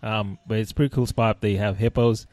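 A man's voice: on the low side, steady tone, brisk, darkish tone, dry recording. A man from Kenya, a guest telling a funny travel story in casual chat and laughing often.

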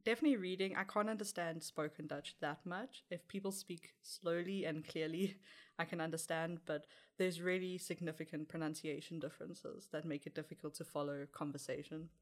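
A woman's voice: deep voice, fairly even intonation, a little fast, hardly any pauses. A young woman with a South African accent answering interview questions in a chatty way, laughing lightly now and then. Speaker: interview guest.

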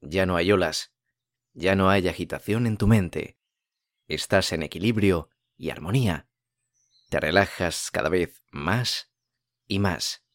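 Guy w a deep voice doing guided meditation, super calm n slow, lots of pauses, dry recording. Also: no echo. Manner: calm, soothing, unhurried with long pauses. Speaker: podcast host and meditation guide.

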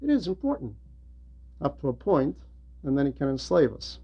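A man's voice: fairly high pitch, sing-song, moderate pace, vocal fry, warm tone, breathy edge, muffled recording. A man delivering an explanatory religious homily in a didactic way, repeating phrases for emphasis. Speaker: preacher and religious teacher.

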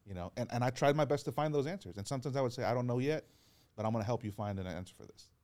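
A man, a pastor, talking earnestly in a relaxed podcast chat, telling stories and acting out what people said. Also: medium pitch, rapid-fire. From Georgia, US. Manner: earnest, encouraging storyteller who acts out quotes. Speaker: pastor and guest. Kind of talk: casual podcast conversation.

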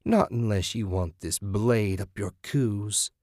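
A man voicing a menacing, sanctimonious, depraved woman in an audiobook, with a raspy, taunting delivery. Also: fairly low pitch, a little mellow, no room sound, clean studio sound. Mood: threatening. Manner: taunting, sinister, drawn-out threats. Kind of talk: dramatic audiobook character voice.

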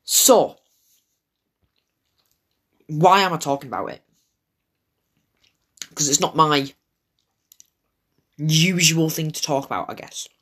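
A man's voice: fairly high pitch, animated, slow, long pauses, fairly bright tone, husky. A man from England with a Wigan accent, hosting his own podcast in a casual, rambling solo monologue. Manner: rambling and digressive, hesitant. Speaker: podcast host.

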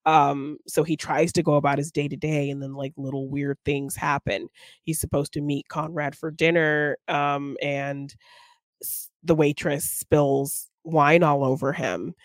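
A woman's voice: deep voice, level tone, measured pace, dry recording, studio quality. A woman co-hosting a film podcast, talking casually and conversationally.

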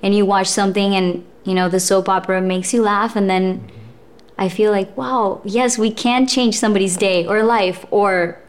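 A woman's voice: medium pitch, steady tone, normal speed. A young adult woman, an actress, talking in a casual interview with frequent fillers. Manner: thoughtful, with frequent fillers and laughs. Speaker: actress and guest.

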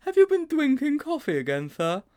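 A man doing Sponge, a squeaky eager schoolboy. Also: huge pitch swings, moderate pace, darkish tone, full character voice. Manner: high squeaky breathless delivery. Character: excitable wide-eyed schoolboy, eager.

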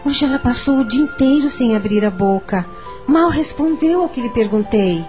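A woman's voice: on the high side, expressive, normal speed, darkish tone, faint music behind, lightly acted. An actress playing an anxious, long-suffering middle-aged mother in a radio drama, fretful and lamenting. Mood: worried, weary.

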